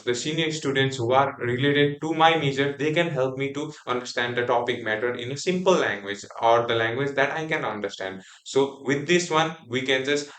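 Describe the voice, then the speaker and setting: A man's voice: mid-range voice, fairly bright tone. A young man from Nepal, a native Nepali speaker with a Nepali accent, giving a class group presentation with many fillers and restarts. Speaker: student presenter. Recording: echoey room.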